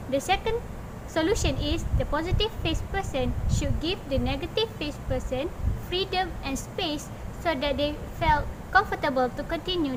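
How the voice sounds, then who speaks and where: A woman's voice: sits high, background noise. A young woman student giving a class video's conclusion outdoors on a campus courtyard, recorded on the camera mic. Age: young adult. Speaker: student presenter.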